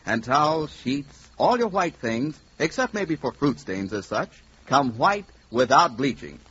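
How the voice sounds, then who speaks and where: A man's voice: mid-range voice, sing-song, measured pace, a bit bright, husky. An American man announcing and reading commercials on 1940s radio with an emphatic sales delivery. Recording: muffled 1940s radio recording.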